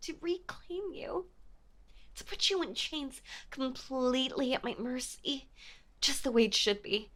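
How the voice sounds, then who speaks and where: A woman playing an obsessive, possessive mafia heiress ex-girlfriend in a dramatic audio roleplay, with intense, menacing, theatrical delivery and a breathy voice. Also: sits high, animated, measured pace, heavily acted. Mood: manic, gleeful. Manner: intense, menacing, theatrical, swinging between hush and outburst. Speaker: voice actor.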